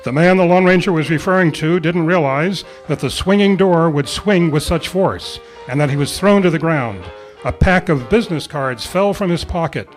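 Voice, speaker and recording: A man announces and narrates an amateur radio play in old-time style. His voice is fairly high for a man, with some music in the background. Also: normal speed. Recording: live club performance with music behind.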